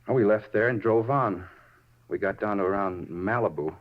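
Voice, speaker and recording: An actor playing an unhinged, self-justifying murder suspect in a 1950s radio drama, rambling over small details and repeating himself. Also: male voice, lowish voice, normal speed, lightly acted. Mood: earnest. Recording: muffled 1950s radio recording.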